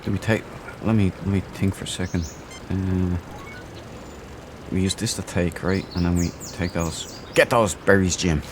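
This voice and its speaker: A man's voice: sits low, fairly even intonation, moderate pace, background noise. A man hosting a let's play, thinking aloud in casual, cheeky, jokey commentary.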